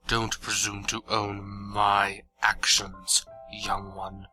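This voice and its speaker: A man's voice: lowish voice, completely flat delivery, extremely slow, sharp tone, faint music behind, heavily acted. A man playing an ancient, coldly menacing vampire lord in an audio drama, speaking in an imperious, formal, disdainful way.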